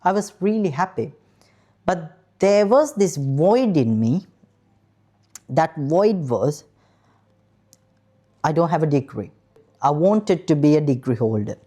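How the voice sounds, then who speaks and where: A man's voice: fairly high pitch, sing-song, takes it slowly, pauses often, warm tone. A man in his thirties from Sri Lanka, an aeronautical engineer frankly telling his own story straight to the viewer. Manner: earnest, frank, addresses viewer directly. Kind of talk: personal story told to camera.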